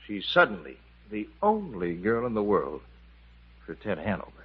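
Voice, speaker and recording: An actor playing an easygoing inn owner and singing entertainer in a 1950s radio musical, with a wry, showmanly manner. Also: male voice, on the low side, very animated, moderate pace, slightly rough. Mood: genial, wary. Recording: dull 1950s radio broadcast with orchestra.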